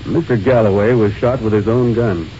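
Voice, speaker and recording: An actor playing an easygoing small-town sheriff with an offhand, musing manner in a 1940s radio drama. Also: male voice, on the low side, steady tone, dark tone, lightly acted. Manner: offhand, hesitant, drawn-out musing. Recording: muffled, hissy old radio recording.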